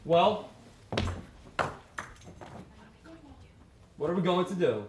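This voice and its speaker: A man's voice: sits high, animated, a little fast. A man playing an earnest, nervous amateur sleuth in a comedic stage play. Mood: anxious. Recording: echoey stage, background noise.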